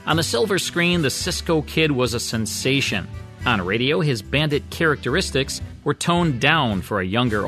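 A man's voice: mid-range voice, bright, forceful tone. A man with an American accent reading scripted narration as a radio host.

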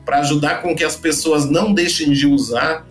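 A man's voice: a little high, steady tone, moderate pace, faint music behind. A man, a podcast guest who explains his data business at length with frequent restarts and fillers. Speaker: guest, data company founder. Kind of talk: podcast interview answers.

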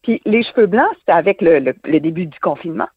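A woman's voice: on the low side, expressive, fast, slightly rough, dry recording. A woman in her forties with a Quebec French accent, a guest speaking candidly and earnestly, repeating phrases for emphasis. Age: 40s. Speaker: guest author. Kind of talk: candid reflective conversation. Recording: tinny dry phone-like line.

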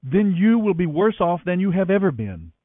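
A man's voice: high-pitched, very animated, moderate pace, voice pushed up. Man playing a stern, scolding army commander. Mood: indignant, stern. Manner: forceful, scolding. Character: stern army commander rebuking his king. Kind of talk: dramatized scripture reading. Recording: radio broadcast with echo, narrow band, music behind.

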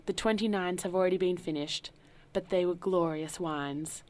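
A woman voicing a dignified, grave butler who speaks formally and quietly. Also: on the low side, close to an everyday voice. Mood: cool. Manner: formal, measured, softly spoken.

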